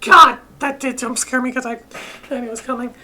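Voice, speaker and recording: A woman giving casual, halting gameplay commentary as a video host, often interrupting herself mid-thought. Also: sits high, steady tone, sharp tone. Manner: halting, rambling, self-interrupting.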